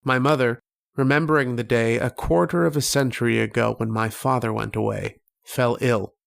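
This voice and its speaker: A man playing a haunted narrator who recalls an obsessive dream, in solemn, literary, measured radio-drama delivery. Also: medium pitch, fairly even intonation, gravelly. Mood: brooding, solemn.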